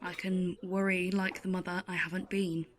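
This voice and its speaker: A woman playing a middle-aged, world-weary waitress in an audio play, telling her story in an intimate, sardonic, deliberate way. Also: fairly low pitch, little pitch movement, close to an everyday voice. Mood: weary, reflective. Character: world-weary, wry older waitress in a late-night cafe. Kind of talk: audio play monologue with acted dialogue.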